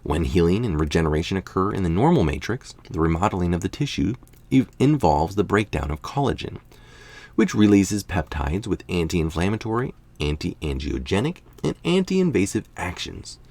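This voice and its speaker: Very deep-voiced man reading aloud, gravelly. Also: very animated, normal speed, darkish tone. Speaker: reader of health articles. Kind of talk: reading a scientific article aloud, with casual asides.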